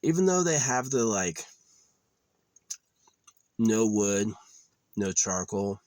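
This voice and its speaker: Young man, very low voice, meandering casual delivery, dry close sound. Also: very animated, slow pace, a little mellow, dry recording. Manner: meandering, offhand, trailing off with filler. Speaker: vlogger. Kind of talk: rambling casual monologue. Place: outdoors at a quiet wooded campsite.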